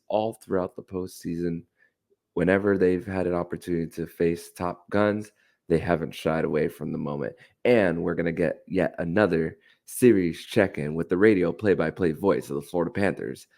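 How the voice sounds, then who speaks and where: A man with a very low voice and a gravelly creak hosts a sports podcast. He delivers solo hockey commentary with an enthusiastic manner. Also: normal speed, a little mellow.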